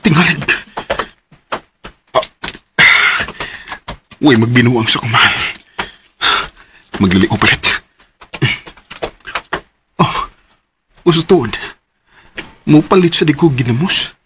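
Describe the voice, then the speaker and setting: An actor playing a hurried, puzzled young son in a radio drama. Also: male voice, mid-range voice, lively intonation, background noise.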